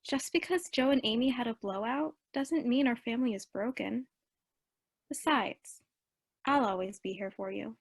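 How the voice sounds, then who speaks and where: A woman's voice: fairly high pitch, normal speed, vocal fry. A woman playing a caring teenage older sister in a radio play, reassuring and matter-of-fact.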